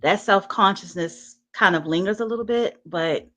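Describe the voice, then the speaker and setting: A woman performing sensual spoken word poetry in a soft, smooth, hushed voice. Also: medium pitch. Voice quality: soft, smooth, breathy-edged voice. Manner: hushed, slow sensual delivery with soft laughs in chat. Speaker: guest poet.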